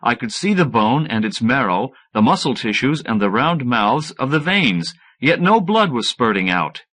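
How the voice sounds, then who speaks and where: A man reading first-person fiction as an audiobook narrator, in an expressive scripted style. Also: medium pitch, normal speed.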